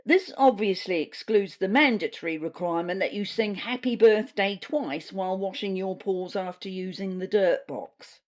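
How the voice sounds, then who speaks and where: A woman's voice: mid-range voice, darkish tone, voice pushed up, lightly acted. A woman with a New Zealand accent voicing a bossy, officious female cat who speechifies earnestly and pompously in a comedy story. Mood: relentless, officious.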